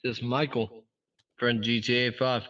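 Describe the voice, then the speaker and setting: A stranger on a video chat, a man talking in a slow, offhand way and swearing casually. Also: mid-range voice, flat delivery, fairly bright tone. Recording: muffled distant voice over a video call.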